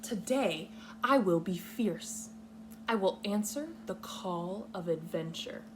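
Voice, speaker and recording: A woman leading a read-aloud lesson for young children, speaking patiently in simple, deliberate, instructional phrases. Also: lowish voice, animated, background noise. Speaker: kindergarten teacher reading a picture book aloud.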